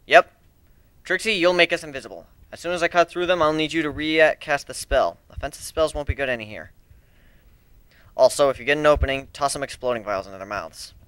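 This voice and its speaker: A man's voice: a little high, brisk, a bit bright, smooth, no room sound, voice pushed up, close to an everyday voice. A man playing a cocky griffin pirate captain and dragon slayer, speaking in a boastful, commanding, emphatic way. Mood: eager, planning.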